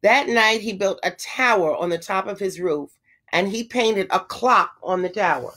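A woman teacher reading a children's storybook aloud, with expressive phrasing and emphasized words. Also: mid-range voice, normal speed.

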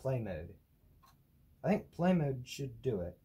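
Mellow young man, puzzled muttering. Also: medium pitch, sing-song, slow. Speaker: participant trying out old technology. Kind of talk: casual thinking aloud. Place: small cluttered room at a desk indoors. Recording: clear background noise with clicks.

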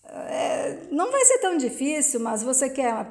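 A woman reading the lines of a calm, easygoing middle-aged rancher in a soap recap, sounding reassuring. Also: high voice, normal speed, gravelly, full character voice. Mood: relaxed.

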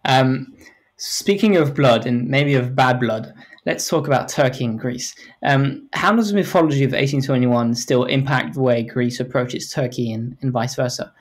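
Measured male podcast host. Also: mid-range voice. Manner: measured, asks long framing questions. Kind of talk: moderating a panel discussion.

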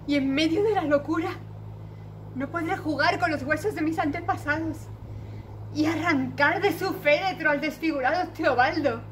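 A woman's voice: high-pitched, normal speed, noisy background, lightly acted. A woman playing a frightened young noblewoman in a declamatory classical stage monologue, full of dramatic questioning. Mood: terrified. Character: frightened young noblewoman agonizing over dark fears. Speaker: amateur stage actress.

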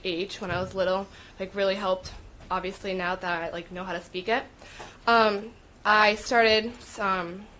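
A young woman from the United States giving a personal statement at a meeting, halting, with many "um" and "like" fillers. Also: medium pitch, fairly bright tone, rough recording. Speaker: student speaking at public comment. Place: meeting room with audience.

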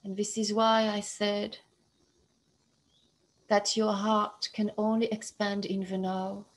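A woman guiding a meditation in a slow, soothing, hushed and even voice. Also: mid-range voice. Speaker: meditation guide. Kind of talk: guided meditation.

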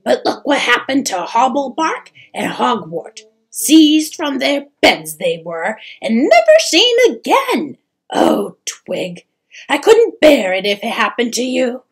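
A woman's voice: high-pitched, wildly swooping pitch, slow pace, husky, voice pushed up, exaggerated voice. A woman voicing an anxious, loving wood troll mother in a storybook, tearful and emphatic. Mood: distraught.

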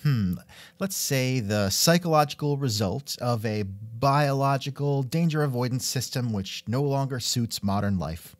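A man's voice: mid-range voice, measured pace, dry recording. A man hosting an interview in a casual, musing way, with wry asides and thoughtful hmms. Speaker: podcast host and interviewer. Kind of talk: casual scripted interview chat.

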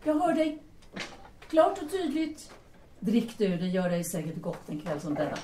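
Woman as a coquettish, animated lady, echoey room. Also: mid-range voice, close to an everyday voice. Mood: composed, knowing. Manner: playful, theatrical. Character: self-assured, coquettish, teasing woman among male friends. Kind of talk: audio drama acting. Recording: distant, echoey room with faint noise.

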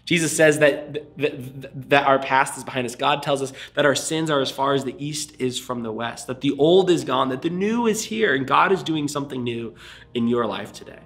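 A man's voice: mid-range voice, normal speed, a bit bright. A young man preaching as a pastor to camera in a small room, earnest and fervent, sounding a little congested. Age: young adult. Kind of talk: livestream sermon and altar call. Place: small indoor room before a screen.

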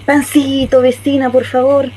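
A woman's voice: high-pitched, level tone, unhurried, dark tone, a little hiss or hum. A woman with a Chilean accent playing a polite but defensive young neighborhood woman in a radio drama.

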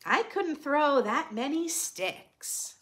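A woman's voice: sits high, big pitch swings, slow, fairly bright tone, exaggerated voice. A woman voicing a wary, hard-to-please young boy in a picture book, answering with reluctant doubt. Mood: doubtful.

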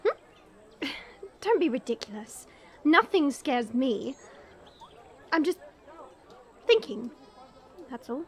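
A woman's voice: high-pitched, big pitch swings, slow, long pauses, full character voice. A woman playing a proud, flustered young dragon princess with haughty, formal denials in an audio drama. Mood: defensive.

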